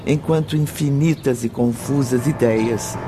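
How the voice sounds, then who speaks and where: Um homem como narrador de radionovela, lendo um texto roteirizado de forma dramática. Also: male voice, medium pitch, unhurried, very noisy. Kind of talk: dramatic scripted radio drama narration.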